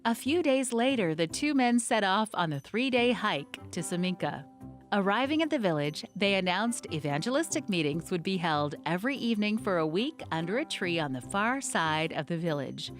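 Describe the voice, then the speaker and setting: Lively woman narrating a story. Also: mid-range voice, moderate pace, faint music behind. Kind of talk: scripted narration.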